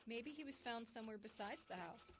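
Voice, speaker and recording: A woman playing a brisk, youngish small-town police chief in an audio drama, matter-of-fact and dryly wry. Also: a little high, animated, slight background noise, natural voice. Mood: businesslike.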